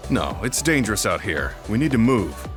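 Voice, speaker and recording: A man's voice: lowish voice, big pitch swings, moderate pace, music in the background. An actor playing a stern, stoic, dutiful older male soldier in a video game. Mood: stoic, insistent.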